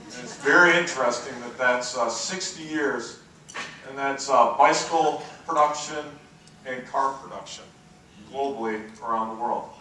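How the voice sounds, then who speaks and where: A middle-aged man with a booming voice giving a conference keynote, telling relaxed, self-deprecating stories with plenty of "uh" fillers. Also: normal speed. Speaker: keynote speaker. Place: large conference hall. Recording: very echoey hall, voice from far off.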